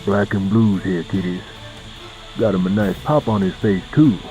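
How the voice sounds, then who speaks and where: A man's voice: low-pitched, steady tone, moderate pace, dark tone, soft background music, close to an everyday voice, poor audio. A man playing a sly old rural character who talks in a folksy, mocking, drawling manner.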